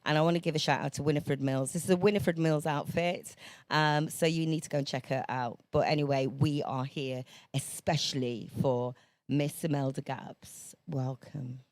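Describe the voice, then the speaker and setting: A woman's voice: low voice, fairly even intonation, normal speed, a little mellow, no echo. Effusive woman podcast host. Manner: effusive and encouraging. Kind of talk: casual interview hosting. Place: podcast studio.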